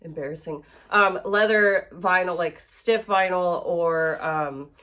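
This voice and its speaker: A woman's voice: lowish voice, slow pace, fairly bright tone. A woman teaching a sewing project in a casual, chatty way, a little congested and nasal from allergies, with frequent fillers and restarts. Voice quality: slightly nasal. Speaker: sewing instructor. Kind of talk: casual sewalong tutorial.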